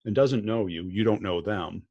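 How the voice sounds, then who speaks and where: A man's voice: on the low side, normal speed, dull audio. A man hosting a podcast, talking bluntly and plainly in casual conversation. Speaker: podcast host.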